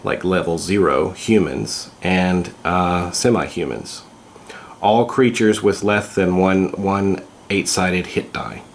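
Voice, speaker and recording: A man with a cold, sounding congested, hosting a casual vlog and explaining things slowly with many pauses and fillers. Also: deep voice, roomy sound, slight background noise. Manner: slow, hesitant, with many fillers. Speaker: vlog host.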